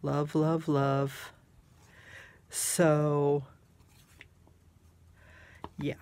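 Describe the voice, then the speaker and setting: A woman's voice: deep voice, flat delivery, extremely slow, darkish tone. A woman craft vlogger chatting casually and gushing over the items she shows, sounding congested with a snotty nose. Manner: gushing, exclamatory. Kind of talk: casual rambling chat while showing craft items.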